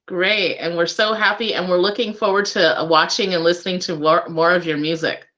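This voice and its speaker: A woman's voice: lowish voice, steady tone, fairly quick, fairly bright tone, lo-fi sound. A woman hosting a casual radio interview, speaking in an encouraging way. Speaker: radio show host.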